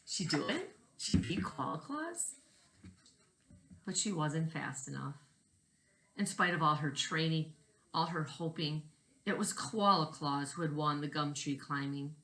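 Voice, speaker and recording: Low-pitched woman reading a storybook aloud. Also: a little slow, pauses often. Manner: expressive, stresses and repeats key words. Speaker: teacher reading a picture book aloud. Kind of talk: storybook read-aloud with questions to children.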